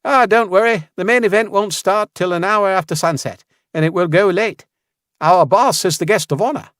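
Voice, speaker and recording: A man's voice: high voice, normal speed, a bit bright, dry recording, studio quality, pitched above the performer's own voice. A man voicing a friendly, chatty male soldier in an audiobook story. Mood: cheerful, chatty. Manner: cheerful, chatty.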